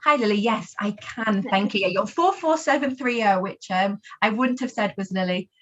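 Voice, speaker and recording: A woman's voice: mid-range voice, normal speed, a bit bright, muffled recording. A woman presenting for a university on a webinar, polite and helpful.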